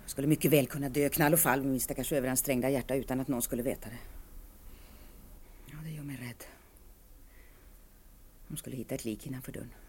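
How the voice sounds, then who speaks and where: An actress playing a bitter, lonely woman of forty-three in an intense radio monologue, swinging between hushed dread and outbursts. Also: rumbling low, fairly even intonation, pauses often. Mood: fearful, bleak. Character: bitter, lonely 43-year-old woman, self-pitying and raging. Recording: archive radio recording with background noise.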